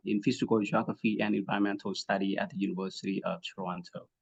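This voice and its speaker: A man from Vietnam giving an academic webinar talk, halting with many fillers and restarts and frequent throat clearing. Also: medium pitch, lo-fi sound. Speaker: guest speaker, assistant professor.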